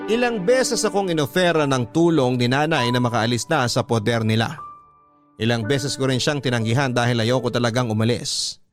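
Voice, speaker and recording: Radio drama narrator, male: medium pitch, earnest and sorrowful first-person confession, sentimental delivery over a music bed. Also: normal speed, lightly acted. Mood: wistful sorrow. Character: sorrowful letter sender recounting painful lost love.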